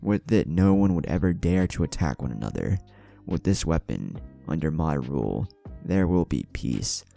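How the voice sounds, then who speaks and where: A man's voice: extremely low pitch, sing-song, moderate pace, creaky, warm tone, faint music behind, full character voice. A man voicing a stoic, grandiose villain leader who sees himself as a god, in a narrated story. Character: stoic male villain leader, grandiose, godlike, easily angered.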